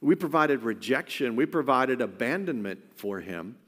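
Male preacher, mid-pitched voice, earnest conversational delivery, long hall reverb. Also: big pitch swings, normal speed, a bit bright. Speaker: pastor. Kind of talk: sermon.

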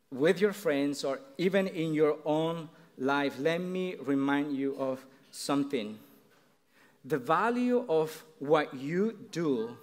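A man with a Mexican accent preaching a sermon in an earnest, halting way, often restarting his phrases. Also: a little high. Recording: long reverb in a large hall.

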